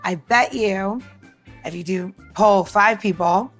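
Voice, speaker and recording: A woman's voice: medium pitch, takes it slowly, fairly bright tone, faint music behind. A chatty woman co-hosting a podcast, talking casually and directly to the listener as if demonstrating. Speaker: podcast co-host giving bar tech tips.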